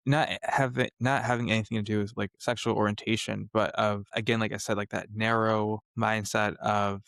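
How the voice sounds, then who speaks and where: Young man with a smooth therapist-like voice. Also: fairly low pitch, flat delivery, no room sound. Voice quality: soft, gentle voice some call a therapist voice. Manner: thoughtful and measured, with frequent "like". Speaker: podcast co-host. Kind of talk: casual discussion.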